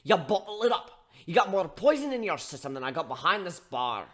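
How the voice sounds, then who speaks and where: A man voicing a gruff, scolding barkeep who gives blunt tough-love lectures in folksy slang in a dramatic story reading. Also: high voice, sing-song, a little fast, a bit bright, pitched above the performer's own voice, exaggerated voice. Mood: angry, scolding.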